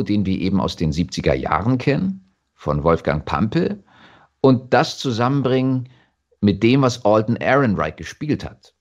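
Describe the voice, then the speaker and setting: A man with a German accent talking reflectively as an interview guest, thoughtful and halting as he restarts his phrases. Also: fairly low pitch, muffled recording.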